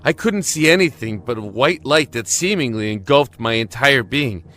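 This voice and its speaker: A man's voice: mid-range voice, lively intonation, normal speed, bright, forceful tone. An actor playing a desperate imprisoned man in an audio drama, telling his story in an earnest, emotive, brooding way. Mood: brooding, haunted. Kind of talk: dramatic first-person audio drama narration.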